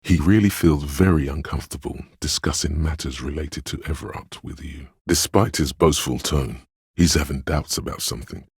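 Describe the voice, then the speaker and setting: An extremely deep, heavily husky male voice narrates as the player's sense of empathy in a video game. It is gentle, perceptive and sorrowful, with measured, solemn phrasing. The sound is dry and intimate. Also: no room sound, exaggerated voice. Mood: tender, perceptive. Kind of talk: scripted second-person game narration.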